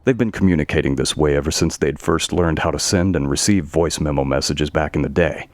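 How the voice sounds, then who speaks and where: A man's voice: a bass voice, fairly quick, no echo. A man narrating a scripted audio story.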